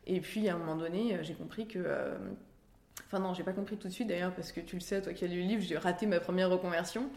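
Woman in echoey room, lowish voice. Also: steady tone, quick pace, a little mellow. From France. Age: 30s. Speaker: interview guest, career-change coach and author. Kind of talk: conversational personal storytelling. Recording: roomy, echoing sound.

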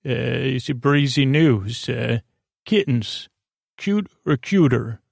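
Creaky-voiced man rambling, very dry close sound. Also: mid-range voice, animated, slow pace, a little mellow, no room sound. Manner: meandering, hesitant, keeps losing his thread and doubling back. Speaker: podcast host. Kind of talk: rambling bedtime monologue.

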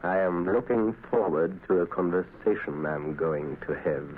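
An actor playing a coldly confident villainous doctor in 1940s radio drama style, speaking formally and deliberately with menace. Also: male voice, sits low, steady tone. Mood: cold, smug. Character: suave sinister male doctor, coldly confident saboteur villain. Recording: noisy tinny vintage radio recording.

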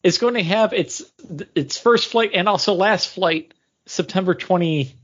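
A man's voice: high voice, measured pace, a bit bright. A man hosting a podcast in casual conversation, recorded with dull lo-fi sound. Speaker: podcast host. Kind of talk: casual chat.

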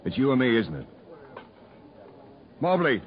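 A man playing a blunt, commanding prison camp captain in a 1950s radio drama, unyielding and husky-voiced. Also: mid-range voice, sing-song, a little slow. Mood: stern, angry. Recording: muffled vintage radio broadcast.

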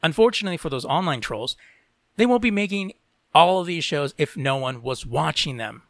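A man's voice: on the high side, lively intonation, brisk, no room sound. A podcast host from Latin America, a man who reads tech and entertainment news aloud and breaks off into opinionated commentary peppered with "you know".

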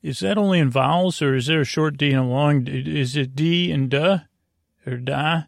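A man hosting a sleep podcast with a halting, meandering, mumbly delivery, rambling whimsically through a bedtime monologue. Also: a little high, creaky, no echo, clean studio sound. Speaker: podcast host.

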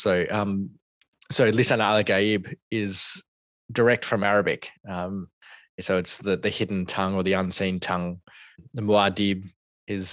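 A man's voice: lowish voice, a bit bright, dry recording. A man co-hosting a podcast film review, speaking thoughtfully with many hesitations and restarts. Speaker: podcast co-host. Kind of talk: casual film review discussion.

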